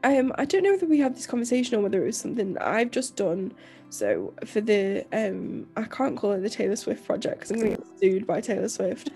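A young woman co-hosting a podcast, croaky and nasal from illness, rambling casually with lots of fillers and restarts. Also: medium pitch, expressive, brisk, warm tone, faint music behind. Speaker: podcast co-host and author. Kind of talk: casual chat.

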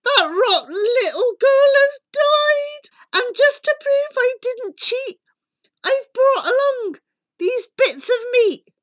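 A woman voicing a soft-hearted, nervous storybook huntsman who is eager to please. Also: very high-pitched, expressive, slow pace, dry recording, voice pushed up, full character voice. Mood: anxious, wheedling.

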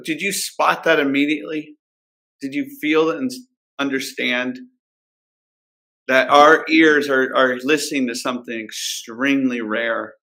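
A man hosting a discussion, putting questions to his guests in a deliberate, phrase-by-phrase way. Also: mid-range voice, pushed and bright. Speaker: podcast host. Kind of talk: conversational interviewing.

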